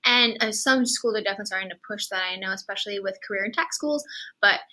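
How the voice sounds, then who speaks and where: A young woman, founder of an educators' group, explaining in earnest, steady conversation. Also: medium pitch, quick pace, poor audio.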